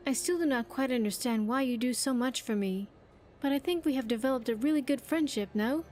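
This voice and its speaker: A woman's voice: sits high, fairly quick, a little mellow, slight background noise, close to an everyday voice. A woman voicing an earnest, grateful young survivor in a video game, chatty and sincere with slightly stilted phrasing. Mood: earnest, hopeful. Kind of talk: video game character dialogue.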